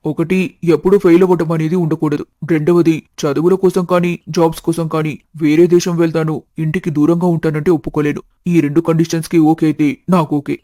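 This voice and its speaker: Man as a chatty young shopkeeper, fairly high, even. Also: no echo, clean studio sound, close to an everyday voice. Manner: chatty, polite, matter-of-fact. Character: talkative, good-hearted young snack-shop owner seeking marriage. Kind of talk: dramatised family storytelling.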